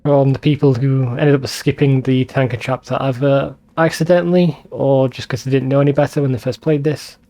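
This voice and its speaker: A man's voice: medium pitch, steady tone, fairly quick, hardly any pauses, dark tone. A man giving informal, sweary gaming commentary as a let's play host. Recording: faint game music behind voice. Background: game soundtrack.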